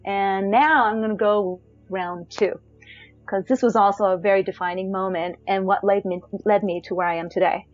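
A woman in her thirties with a naturally high voice looks back on her life, talking in a loose, chatty way with plenty of fillers and restarts. The audio is dull and lo-fi, with faint music underneath. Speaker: singer telling her life story. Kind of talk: personal storytelling.

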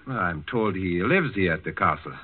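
A man's voice: sits low, lively intonation, normal speed, husky. A man acting a stern, cold Nazi officer who questions formally and imperiously in a 1940s radio drama. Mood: cold, suspicious. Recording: muffled 1940s radio broadcast recording.

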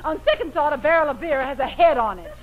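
Haughty society lady, sharp-tongued, animated high voice, 1940s radio comedy. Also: fast talker, phone-quality sound, very noisy. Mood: catty.

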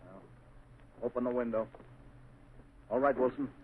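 An actor playing a ruthless, sneering crime boss in a 1930s radio drama, giving curt commands in a rough voice. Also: male voice, medium pitch, unhurried, a little hiss or hum, close to an everyday voice. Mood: cold menace. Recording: tinny, narrow 1930s radio recording.

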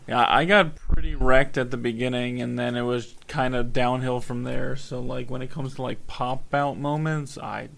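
Gravelly, laid-back man. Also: medium pitch, fairly even intonation, normal speed, hardly any pauses, fairly bright tone, slight background noise. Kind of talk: casual group chat.

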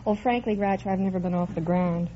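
A woman's voice: lowish voice, fast talker, slight background noise. A woman competing on a quiz show, giving short, shy answers. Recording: muffled 1950s radio broadcast, loud audience.

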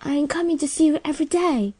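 A woman voicing an earnest, soft young heroine. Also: sits high, fast, no echo, clean studio sound, close to an everyday voice. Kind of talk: audiobook character reading.

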